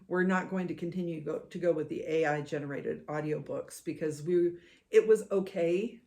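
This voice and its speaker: A middle-aged woman co-hosting a podcast in casual chat, with a soothing, measured way of talking. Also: deep voice, warm tone. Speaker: podcast co-host.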